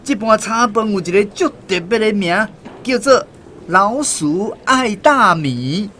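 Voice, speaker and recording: Radio drama eager, boastful local chief, Taiwanese accent, high animated declamation. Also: male voice, slow, slight background noise, full character voice. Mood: excited, boastful. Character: proud, eager township chief hosting guests at dinner.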